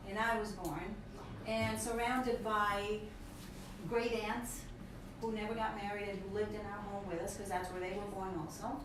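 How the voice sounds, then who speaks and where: A woman in her fifties from Ecuador, telling her life story as a guest in a relaxed chat, expressive and quick to laugh. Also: mid-range voice. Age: 50s. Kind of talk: casual interview storytelling. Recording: echoey room, far from mic, noisy.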